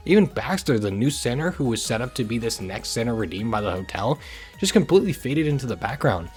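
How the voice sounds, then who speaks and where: A man hosting a video review, delivering scripted commentary in an informal, opinionated way. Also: medium pitch. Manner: informal, opinionated, occasional swearing. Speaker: host of a video review channel. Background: music under the voice.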